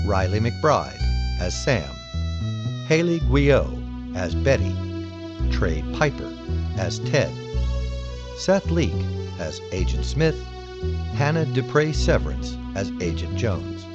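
A man's voice: lowish voice, very animated, takes it slowly, pauses often, lo-fi sound. A man reading scripted credits as an announcer. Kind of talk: scripted narration. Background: loud plucked guitar music.

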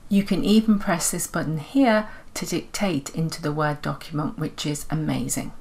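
Woman, low-pitched voice, presenting an instructional software walkthrough voice-over. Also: fairly even intonation, normal speed, creaky, slightly rough. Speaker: tutorial presenter. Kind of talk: explanatory software tutorial voice-over.

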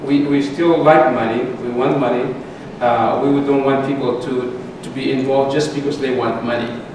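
A middle-aged man, a lecturer taking audience questions in measured speech with pauses and restarts. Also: medium pitch, fairly even intonation. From Solomon Islands. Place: large lecture theatre. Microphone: distant room mic. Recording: echoey hall from far off, background noise.

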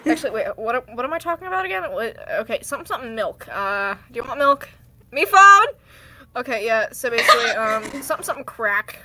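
High, animated woman rambling over noisy mic. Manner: halting, rambling, goofy ad-libbing. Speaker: amateur fandub voice performer. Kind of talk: joking, improvised cartoon fandub. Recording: noisy amateur mic with clicks.

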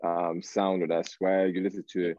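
A low-voiced man talks loosely as a guest on a video call. He is slangy and halting, circling back as he hunts for words. The sound is close and dry but a bit lo-fi. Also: little pitch movement, darkish tone, no room sound. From Canada, raised in Toronto. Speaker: guest R&B singer. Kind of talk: casual interview chat. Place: small room, indoors. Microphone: video call, device at arm's length.

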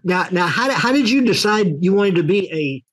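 Older man hosting, slightly husky voice. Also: high voice, expressive, normal speed. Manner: rambling, folksy, with many restarts and fillers. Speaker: host. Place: office with a leather chair.

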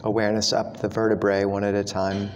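Low-voiced man guiding meditation in echoey hall. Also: completely flat delivery. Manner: slow, gentle, with long pauses. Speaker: monk, meditation teacher. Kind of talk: guided meditation instruction. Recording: echoey hall with long reverb, background noise.

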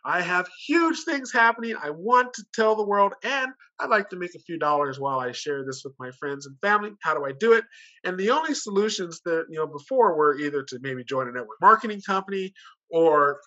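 A man's voice: high-pitched, lively intonation, brisk, bright, forceful tone. A man giving an informal, persuasive sales presentation at an online conference, conversational and fond of rhetorical questions, speaking over a video call. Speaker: company director giving a sales pitch. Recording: dull, muffled video call audio.

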